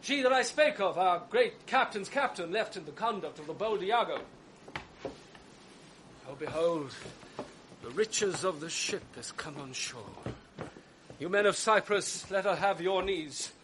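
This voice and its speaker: A man's voice: high-pitched, lively intonation, unhurried, background noise. An actor playing a gallant young male lieutenant in a 1980s radio drama, declaiming in a courtly, elevated way.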